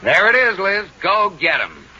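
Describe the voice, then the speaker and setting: An actor playing an encouraging, teasing husband in old radio sitcom style. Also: male voice, high-pitched, huge pitch swings, a little slow, sharp tone, exaggerated voice. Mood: encouraging, brisk. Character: encouraging, teasing husband urging his wife on. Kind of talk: 1950s radio sitcom acting. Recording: muffled, slightly noisy old radio recording.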